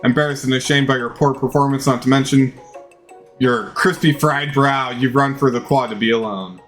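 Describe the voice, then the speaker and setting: A man's voice: medium pitch, fairly even intonation, moderate pace, fairly bright tone, faint music behind. A man narrating a video game playthrough in a theatrical way, reading the text aloud and stumbling over the odd word.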